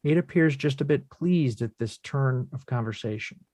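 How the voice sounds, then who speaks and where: A man's voice: medium pitch, moderate pace, darkish tone, dry recording. Man narrating stage directions, dry sound. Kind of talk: scripted narration.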